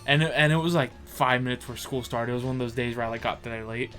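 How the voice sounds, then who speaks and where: A man's voice: mid-range voice, fast, a bit bright, faint music behind. A young man co-hosting a podcast in casual chat, rambling and crude, often correcting himself mid-sentence. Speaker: podcast co-host.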